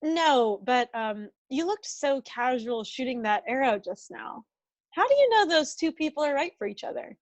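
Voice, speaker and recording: A woman's voice: high-pitched, very animated, normal speed, no room sound. A woman playing a blunt, indignant Marine in a comedy script read. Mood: curious. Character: blunt, indignant female Marine challenging Cupid.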